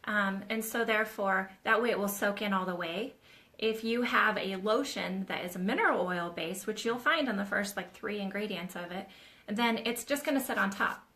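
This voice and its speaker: This is a woman hosting a live question-and-answer session for nail techs. Her voice is bright and forward, and she talks in a loose, chatty teaching style, explaining as she demonstrates on her own nails. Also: mid-range voice, moderate pace. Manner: chatty, demonstrates while talking, addresses viewers as "you guys". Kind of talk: casual live-stream teaching.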